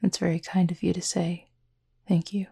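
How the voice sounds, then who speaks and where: A reserved supernatural cupid played by a woman in an intimate audio roleplay. The voice is lowish for a woman, very creaky and husky, often close to a whisper. Speech is formal and measured, tender with a gently wry edge, and the pitch stays fairly flat. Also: heavily acted. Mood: sincere, reflective.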